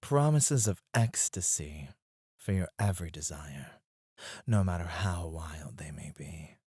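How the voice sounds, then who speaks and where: Man acting a low, breathy, teasing male escort. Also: animated, mellow, dry recording, studio quality, full character voice. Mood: sultry, seductive. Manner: slow, teasing, seductive, often dropping to a breathy murmur. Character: suave, teasing male escort at a club, flirtatious. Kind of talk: ASMR roleplay, intimate close delivery. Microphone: close studio microphone, dry.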